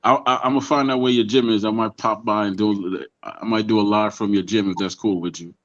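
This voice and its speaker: A man's voice: lowish voice, steady tone, a little fast, lo-fi sound. A man in his fifties chatting casually as a guest panelist on a boxing show. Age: 50s. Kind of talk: casual chat.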